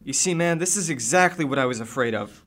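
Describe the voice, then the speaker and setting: A man's voice: medium pitch, animated, fast, fairly bright tone, slight background noise, lightly acted. A young man playing an impatient, sarcastic teenage survivor in a radio drama. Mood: sarcastic, irritated. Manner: sardonic, impatient.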